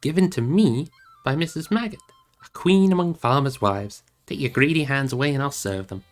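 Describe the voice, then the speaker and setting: A man's voice: medium pitch, expressive, normal speed, close to an everyday voice. A man voices an earnest male hobbit hero from a storybook. He is guarded and careful with his words, a little hesitant in delivery, with music underneath. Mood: playful.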